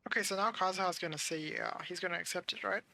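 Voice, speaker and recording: A game streamer giving casual livestream commentary in a creaky voice, grumbling, swearing and thinking aloud. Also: medium pitch, fairly even intonation, fast talker, pushed and bright, slight background noise.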